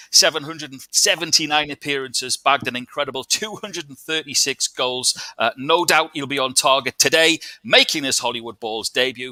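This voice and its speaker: A man's voice: medium pitch, pushed and bright, no room sound. A man hosting a football chat show, introducing segments and guests in an upbeat way. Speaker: host.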